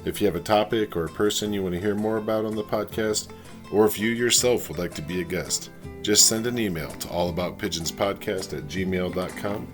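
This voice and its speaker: A young man hosting a podcast, chatting in a relaxed, upbeat, conversational way. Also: on the low side, a little fast, music in the background. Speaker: podcast host. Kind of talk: casual podcast chat.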